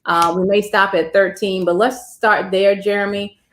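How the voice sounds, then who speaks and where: A woman's voice: medium pitch, little pitch movement, normal speed, a little hiss or hum. Woman hosting casually, echoey room. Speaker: host. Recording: roomy echo.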